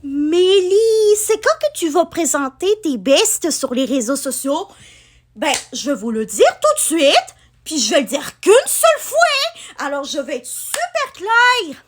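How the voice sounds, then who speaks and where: High, lively young woman vlogger from Quebec. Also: measured pace. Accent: Quebec French, with some France-style features. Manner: rambling, with many "ben" fillers and restarts. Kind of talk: casual chat to camera.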